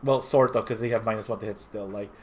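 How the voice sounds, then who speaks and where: A man's voice: lowish voice, steady tone, fast, a little hiss or hum. A young man with a slightly nasal, under-the-weather voice, talking casually through a recap. He often breaks off and corrects himself mid-sentence as he goes. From Philippines. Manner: rambling, frequent self-corrections. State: a little sick. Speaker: tournament player reporting.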